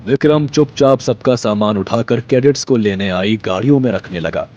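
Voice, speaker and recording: A man's voice: mid-range voice, moderate pace, a little mellow, lo-fi sound. A man narrating a scripted audio drama in a dramatic storytelling style.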